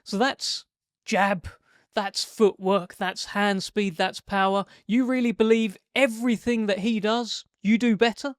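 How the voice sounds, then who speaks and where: High-pitched man hosting a sports interview. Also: fairly even intonation, measured pace, no echo, clean studio sound. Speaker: host and interviewer.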